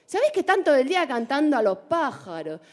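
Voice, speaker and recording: A woman's voice: high-pitched, very animated, fast talker. A woman in her forties playing a nosy, chatty Argentine woman with a put-on Rioplatense accent, teasing and drawing out her vowels. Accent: Rioplatense Spanish put on. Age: 40s. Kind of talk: comic monologue impression.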